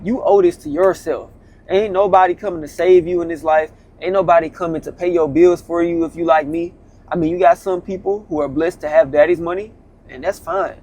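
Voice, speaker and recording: A man in his twenties talks loosely to his viewers from the cab of his truck, slipping into slang and repeating himself as he goes. His voice sits a little higher than most men's. The delivery is relaxed, conversational and motivational. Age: 20s. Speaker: vlogger, truck driver. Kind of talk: casual motivational talk.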